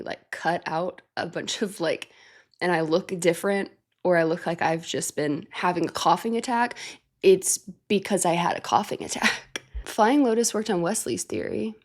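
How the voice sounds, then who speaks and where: A woman's voice: fairly low pitch, creaky. A woman hosting a music reaction channel, sick and congested with a nasal voice, chatting casually and thinking aloud with fillers. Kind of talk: casual reaction chat to camera.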